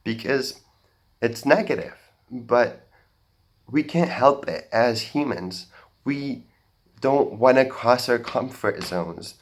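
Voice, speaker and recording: Young man, American-sounding accent, earnest stage talk, halting restarts, slow pace. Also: mid-range voice. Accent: general American. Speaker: speaker at a talk. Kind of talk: public talk, personal story. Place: auditorium stage.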